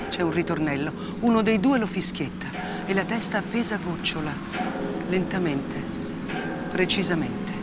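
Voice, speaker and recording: An actress playing a weary, defiant woman journalist bearing witness in a dramatic theatre monologue, with deliberate, clipped phrases and emphatic repetition. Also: fairly low pitch, heavy background noise, lightly acted. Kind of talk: dramatic theatre monologue, first-person testimony.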